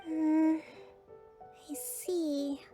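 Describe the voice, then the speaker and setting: A gentle young female leader in a video game. Her voice is high and girlish, polite and composed, with faint music underneath. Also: little pitch movement, very slow. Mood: reluctant, wistful. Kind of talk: video game character dialogue.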